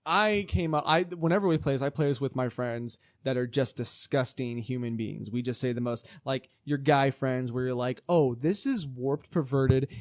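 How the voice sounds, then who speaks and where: A man's voice: medium pitch, no echo, clean studio sound. A young man co-hosting a comedy podcast, telling a story in casual chat and laughing at his own jokes. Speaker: podcast co-host.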